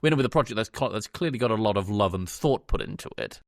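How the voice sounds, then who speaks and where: A man with a slightly low voice speaks as a podcast co-host. He gives casual review commentary, working out his opinions aloud in everyday informal language. The sound is very dry, with no room around the voice. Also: animated, racing, no echo. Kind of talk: casual film review chat.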